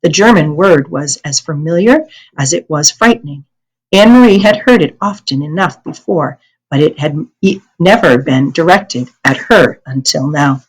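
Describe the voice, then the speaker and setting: A woman's voice: sits low, lively intonation, moderate pace, slightly rough, low-quality recording. An American woman reading a storybook aloud as a teacher would, expressively and carefully, with small stumbles and corrections. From United States.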